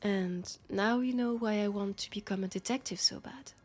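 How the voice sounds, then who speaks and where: A woman's voice: medium pitch, moderate pace, darkish tone, lightly acted. A woman with a French accent playing a worried, disillusioned policewoman in an intimate sci-fi roleplay, confiding in a hesitant way. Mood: somber, confiding. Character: worried, disillusioned young policewoman in a dystopian city. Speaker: roleplay audio performer.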